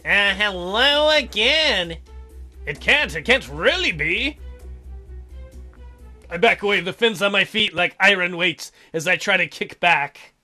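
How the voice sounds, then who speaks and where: A man's voice: sits high, animated, measured pace, bright, forceful tone, faint music behind. A man reading visual novel text aloud as a let's play host, with irreverent, sarcastic asides. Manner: irreverent, crude, sarcastic commentary.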